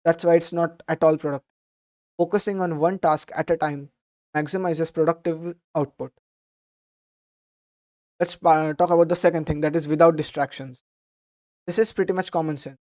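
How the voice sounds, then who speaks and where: Young adult man, slightly hoarse, dark mellow tone, steady earnest explainer. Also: a little high, normal speed, long pauses. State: slightly hoarse from a sore throat. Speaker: book summary host. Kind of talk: explanatory book summary talk.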